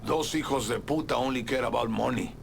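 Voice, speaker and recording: An actor playing a bitter, hard-bitten Cuban exile informant in a video game, gravelly and scornful, with a Cuban accent. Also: male voice, medium pitch, a little fast, slight background noise. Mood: bitter, wary. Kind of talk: video game cutscene acting.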